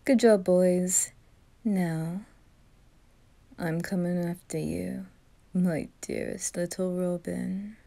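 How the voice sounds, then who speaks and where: A woman voicing a smug, menacing male demon who taunts in a deliberate drawl, in an ASMR roleplay. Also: fairly low pitch, steady tone, creaky, dark tone, dry recording. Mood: sinister, possessive. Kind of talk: slow villainous ASMR roleplay acting.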